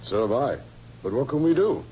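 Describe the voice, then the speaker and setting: An actor playing a brisk, dutiful provincial police superintendent in an old-time radio drama. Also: male voice, medium pitch, big pitch swings, warm tone, close to an everyday voice. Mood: businesslike. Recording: muffled, noisy old radio recording.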